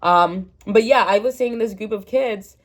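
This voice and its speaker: Congested young woman rambling to camera. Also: unhurried. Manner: rambling, candid, laughs at herself, swings into loud high mock voices. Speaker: vlogger. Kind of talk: casual confessional chat to camera.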